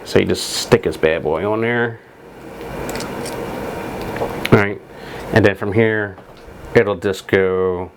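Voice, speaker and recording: A man's voice: deep voice, noisy background. A man in his forties with a stuffy nose from a cold, casually demonstrating camera gear with lots of fillers and restarts. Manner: rambling, filler-heavy, restarts. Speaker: photography vlogger. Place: home studio room with monitors.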